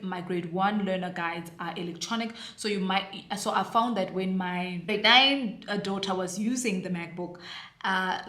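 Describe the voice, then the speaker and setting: A woman's voice: fairly low pitch, fairly bright tone, roomy sound. A South African woman in her thirties, a homeschooling mother, chatting earnestly through a curriculum review with many fillers and restarts. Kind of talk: casual review to camera.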